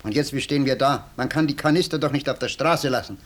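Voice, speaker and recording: A man plays an unflappable schemer in a vintage radio play. His medium-pitched voice stays calm and falsely deferential, with a note of mild reproach. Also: fast talker, close to an everyday voice. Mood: unruffled. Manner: calm, mock-polite, reproachful. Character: unflappable, falsely deferential sly male intruder. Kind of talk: 1950s German radio drama acting.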